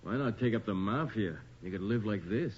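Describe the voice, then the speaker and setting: Casting: an uneasy, curious investigator, highly expressive, on a muffled vintage radio drama recording. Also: male voice, moderate pace, slightly rough. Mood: wry, indignant. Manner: expressive, intent, questioning. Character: inquisitive, wry, uneasy male investigator. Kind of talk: 1980s radio horror drama acting. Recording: dull, noisy old radio recording.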